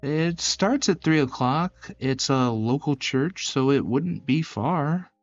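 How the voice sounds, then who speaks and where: A man's voice: on the high side, expressive, takes it slowly, no room sound, highs cut off, soft background music. A man voicing a shy, sweet, apologetic young woman in a visual novel, bashful and rambling. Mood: pleased. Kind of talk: visual novel character voice acting.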